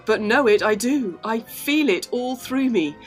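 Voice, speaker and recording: An actress playing an earnest, resolute young gentlewoman in a gothic audio drama, speaking formally and with conviction. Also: on the high side, expressive, soft background music. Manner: formal, measured, full of conviction.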